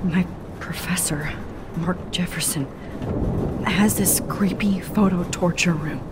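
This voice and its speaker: An actress in a video game playing a sympathetic young American student, speaking in a gentle, hesitant, somber way. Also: female voice, slow, very noisy. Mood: grave. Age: young adult. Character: somber, sympathetic young female student bearing bad news. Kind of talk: dramatic video game acting. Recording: loud rumbling storm ambience behind.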